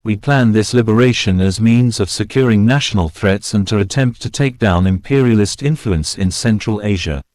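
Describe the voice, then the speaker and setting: A man playing a grave government official delivering a formal, measured, scripted address to an assembly in a war drama. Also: fairly low pitch, darkish tone, no room sound, close to an everyday voice. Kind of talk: scripted political address in mock war scenario.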